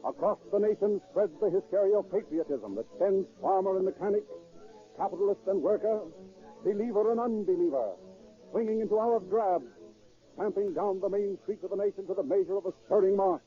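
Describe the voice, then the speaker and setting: A man's voice: high voice, a little fast, soft background music. A man announcing and narrating in scripted 1930s radio style, formal and declamatory. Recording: tinny 1930s radio recording.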